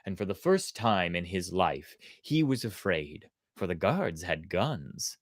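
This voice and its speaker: An expressive storyteller narrates at a slow, deliberate pace with very lively intonation. The delivery is theatrical, and the sound is close and dry. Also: low-pitched, dry recording. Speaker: storyteller, host.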